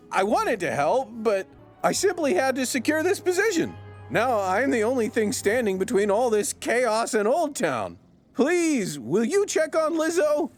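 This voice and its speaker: Very high-pitched fretful town guard. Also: male voice, heavily acted. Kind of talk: video game character acting. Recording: game music behind the voice.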